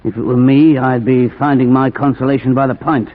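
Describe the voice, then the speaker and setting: An actor playing a plain-spoken, deferential asylum attendant in a 1940s radio drama. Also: male voice, mid-range voice, steady tone, brisk, natural voice. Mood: blunt, folksy. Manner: folksy, hesitant. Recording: old narrow-band radio recording with crowd-like background.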